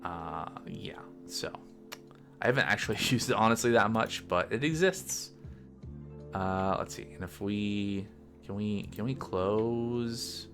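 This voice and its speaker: A man giving casual think-aloud commentary as a game developer on a coding stream, halting and muttering to himself as he works. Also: fairly low pitch, a bit bright, soft background music.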